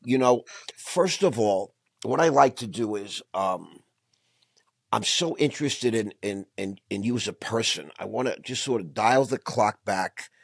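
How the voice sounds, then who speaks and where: A man's voice: fairly low pitch, measured pace, gravelly, husky, no echo, studio quality. A man hosting a podcast interview, enthusiastic and effusive, often repeating words as he talks. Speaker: podcast host. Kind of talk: conversational interview.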